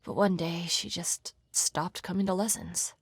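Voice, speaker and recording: A blunt, guarded young pianist from a mystery game. Her voice sits very low and crackles with fry, slightly husky. She speaks in a deadpan, matter-of-fact way. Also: measured pace, dry recording. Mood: wistful, flat. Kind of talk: video game voice acting. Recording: music behind the voice.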